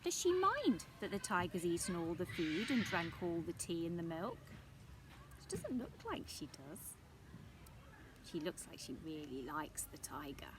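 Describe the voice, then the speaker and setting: A woman's voice: on the low side, heavy background noise. A woman reading a picture book aloud expressively for young children, breaking off for chatty questions to her listeners.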